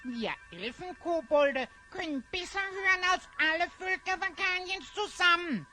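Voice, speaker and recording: A woman's voice: expressive, unhurried, sharp tone, soft background music, full character voice. A woman voicing a boastful, touchy little elf goblin in a children's fantasy audio drama, with a piercing voice and a haughty, bragging manner. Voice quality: very high, piercing.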